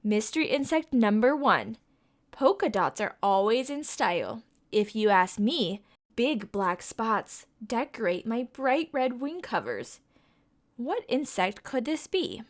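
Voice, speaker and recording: A woman's voice: fairly high pitch, very animated, slow. A young woman reading a picture book aloud to children, with a sing-song, coaxing way of asking the listeners questions. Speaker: children's storytime reader.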